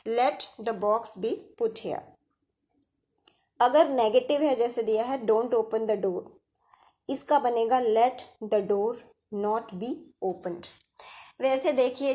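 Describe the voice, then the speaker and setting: A woman with an Indian accent teaching a grammar lesson, explaining step by step with examples. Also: a little high.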